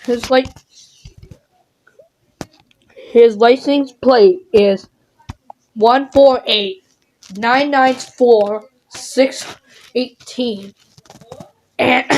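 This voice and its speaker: Slow, high-voiced announcer, female. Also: fairly even intonation, darkish tone, rough recording. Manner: halting, deliberate delivery with stumbles. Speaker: announcer of a mock emergency alert. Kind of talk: improvised mock emergency alert announcement.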